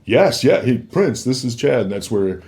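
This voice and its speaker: A man's voice: medium pitch, sing-song, normal speed, darkish tone, slight background noise. A man speaking as a podcast guest, telling anecdotes casually and acting out short bits of dialogue as he goes.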